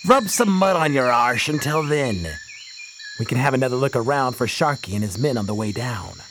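A man's voice: medium pitch, lively intonation, moderate pace, noisy background, natural voice. A man playing a brusque, practical seafarer in a pirate radio drama.

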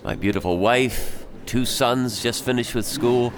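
A man's voice: medium pitch, unhurried, a crowd in the background, lightly acted. A man playing a grave, determined shipowner in a radio drama, with formal, commanding, old-fashioned speech. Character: grave, determined bereaved shipowner seeking revenge.

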